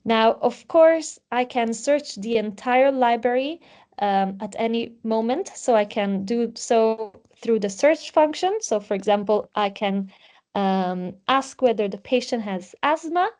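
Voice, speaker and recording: A woman's voice: a little high, lively intonation, a little slow, darkish tone. Woman presenting a product demo. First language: Italian. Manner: explanatory, with frequent um fillers. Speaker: webinar presenter.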